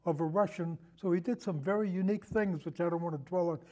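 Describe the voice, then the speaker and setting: A man in a casual film discussion, telling anecdotes in a halting, rambling way and often repeating his phrases. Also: high voice, a little mellow. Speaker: podcast guest, film discussion.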